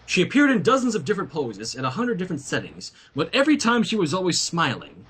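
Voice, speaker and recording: A man reading a story aloud in an expressive narrating style, with casual asides. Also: high-pitched, fast talker, slightly rough. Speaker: fan fiction reader.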